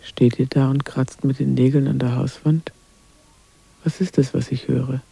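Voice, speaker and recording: Brooding witchy inner monologue, radio play, breathy dark voice, poetic and tormented. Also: mid-range voice, flat delivery, a little slow, no echo, heavily acted, low-quality recording.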